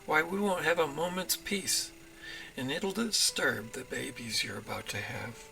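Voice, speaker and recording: A man's voice: a little high, animated, a little slow, gravelly, sharp tone, a little hiss or hum, pitched above the performer's own voice, exaggerated voice. A man voicing a grumpy, indignant chipmunk husband in a children's storybook, with a fussy, complaining manner. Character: grumpy male chipmunk husband, indignant about noisy neighbors.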